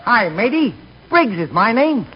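An actor playing an exaggerated comic supporting character in a 1940s radio comedy. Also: male voice, very high-pitched, very animated, measured pace, noisy background, exaggerated voice. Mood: eager. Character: fussy bank cashier and eager ship's stoker. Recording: muffled 1940s radio with studio audience.

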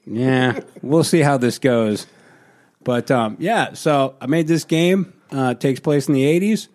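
A man's voice: medium pitch, measured pace, a little mellow. A man co-hosting a casual podcast and leading a tabletop role-playing game, upbeat and guiding. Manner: upbeat, guiding, laughs easily. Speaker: co-host and game master.

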